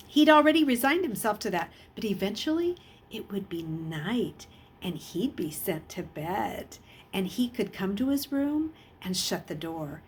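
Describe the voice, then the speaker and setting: A woman reading a children's novel aloud as an expressive storyteller, with a husky voice. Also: medium pitch, a little hiss or hum. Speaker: audiobook reader.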